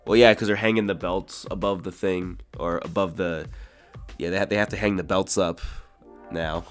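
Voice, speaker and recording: A man's voice: low-pitched, steady tone, normal speed, soft background music. A young man co-hosting a casual wrestling chat, recapping in a wry, giggly way. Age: young adult. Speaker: co-host.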